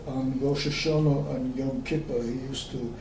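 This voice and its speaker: A man, recalling his life in an oral history interview, slow and hesitant with many pauses. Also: mid-range voice, steady tone, a little mellow. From Austria. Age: 90s. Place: room at a community center. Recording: echoey room, distant mic, faint hiss.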